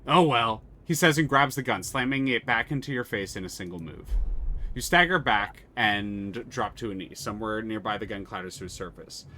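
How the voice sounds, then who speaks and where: A man's voice: lowish voice, normal speed, sharp tone. A man streaming a story game, reading its text aloud and chatting casually, swearing freely.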